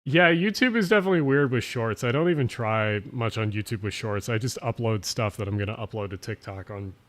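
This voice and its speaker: A man's voice: medium pitch, brisk, a bit bright. A man with a South Jersey accent, slightly tipsy, rambling casually as he hosts a late-night stream and answers chat with lots of fillers and restarts. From South Jersey, USA. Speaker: livestream host.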